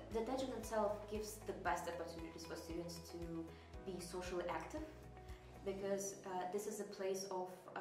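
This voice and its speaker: A young woman from Belarus, a university student, giving thoughtful, measured interview answers with some hesitations. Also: mid-range voice. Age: young adult. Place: indoor campus room. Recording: roomy echo, music bed underneath.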